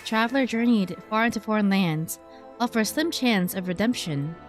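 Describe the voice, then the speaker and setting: A woman's voice: medium pitch, expressive, moderate pace, over music. A woman VTuber giving casual playthrough commentary, playfully musing aloud and reading game text.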